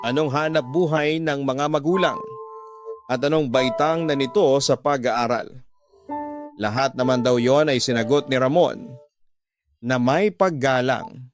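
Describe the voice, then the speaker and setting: A man's voice: mid-range voice, unhurried, pauses often. A man giving scripted narration as the storyteller of a radio drama. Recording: music bed under the voice.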